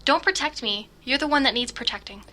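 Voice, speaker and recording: Tense, bright troubled teenage girl. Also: sits high. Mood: guarded, tense. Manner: tense, halting, defensive. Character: troubled, fragile, dramatic teenage girl, guarded and fearful. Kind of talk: audio drama acting.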